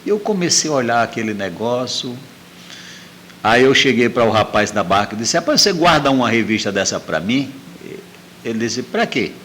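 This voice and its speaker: A man's voice: medium pitch, big pitch swings, moderate pace, a bit bright, slight background noise. A middle-aged man telling a personal story as an interview guest, repeating phrases for emphasis.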